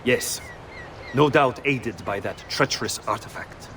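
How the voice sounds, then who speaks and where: An actor playing a shrewd, grave conspirator with an Italian accent, speaking deliberately in a video game. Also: male voice, medium pitch, fairly bright tone. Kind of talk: video game cutscene acting. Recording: outdoor ambience noise behind.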